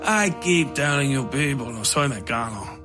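A man voicing a tall, menacing knife-wielder, speaking in deliberate, formal phrases with cool taunts. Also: mid-range voice, expressive, natural voice. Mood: taunting, demanding. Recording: music under the voice.

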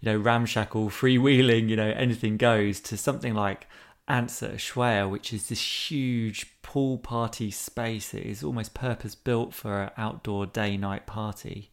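A man's voice: lowish voice, fairly even intonation, normal speed. A man interviewing a guest in a casual way, asking long, winding questions.

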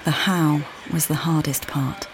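An actress playing a weary, displaced mother who calmly guides the listener in a walking play, speaking plainly and directly to them. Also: deep voice, measured pace, noisy background. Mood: reflective. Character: displaced mother, weary and uncertain, far from home. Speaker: audio guide narrator. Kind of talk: immersive walking-play narration.

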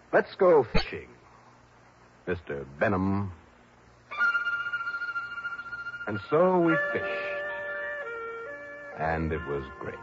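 Casting: decent, world-weary radio private investigator, low-pitched and wry, old muffled broadcast with faint music. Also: male voice, exaggerated voice. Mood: wry, resolved. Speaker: lead actor. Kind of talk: 1950s-60s radio drama acting with first-person narration.

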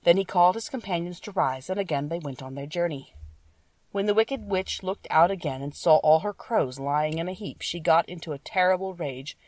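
A woman's voice: fairly low pitch, moderate pace, darkish tone, no echo, recorded from a distance. A woman reading a children's story aloud as a scripted audiobook narration.

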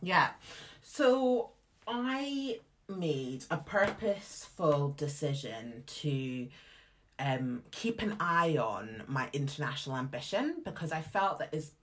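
A Scottish woman in her thirties with a low voice tells her career story to interviewers. She is frank and lively, full of casual asides and quick restarts. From Scotland. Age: 30s. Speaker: guest, theatre artistic director. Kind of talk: candid conversational storytelling.

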